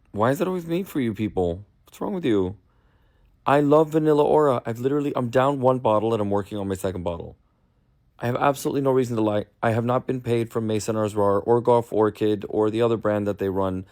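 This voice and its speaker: A man's voice: medium pitch, brisk, darkish tone. A man ranting defensively to camera, exasperated and swearing. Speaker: content creator.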